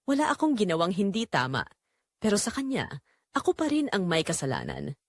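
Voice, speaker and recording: Expressive woman narrating a romance. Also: mid-range voice, a little fast, no echo, studio quality. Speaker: story narrator. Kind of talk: scripted first-person romance drama narration.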